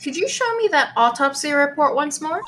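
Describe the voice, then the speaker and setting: A woman's voice: high voice, sing-song, fairly quick, fairly bright tone, a little hiss or hum. A woman voicing a naive, earnest teenage girl assistant who is easily amazed, in a live game fan dub. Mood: thoughtful. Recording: music behind the voice.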